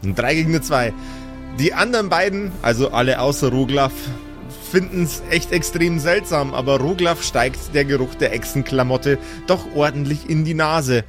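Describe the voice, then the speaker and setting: A man's voice: fairly high pitch, normal speed. A man narrating an improvised tabletop fantasy game as game master, in a casual, joking way. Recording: background music under voice.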